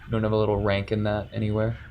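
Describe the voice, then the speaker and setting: A man's voice: fairly low pitch, completely flat delivery, very fast, highs cut off, slight background noise. Man running a tabletop game. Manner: methodical, with frequent ums and self-corrections. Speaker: game master. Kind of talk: explaining dice rules at a tabletop game.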